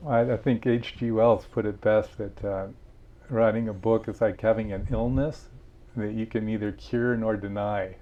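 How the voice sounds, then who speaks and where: A man's voice: fairly low pitch, steady tone, normal speed, dull audio. A man being interviewed about his book, telling stories earnestly with frequent restarts. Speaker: author and guest. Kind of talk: interview conversation.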